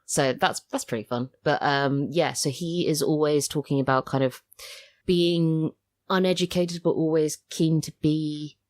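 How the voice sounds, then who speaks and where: Female guest, British accent, notably low pitch, informal interview talk with dry, self-mocking humour. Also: fairly even intonation, unhurried, darkish tone. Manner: self-deprecating, wry. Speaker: podcast guest, audio drama writer. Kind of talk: casual interview chat.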